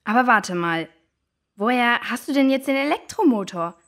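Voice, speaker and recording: A woman's voice: fairly high pitch, animated, moderate pace, a bit bright. A woman playing a sympathetic, inquisitive child in a children's audio drama. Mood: sympathetic, curious.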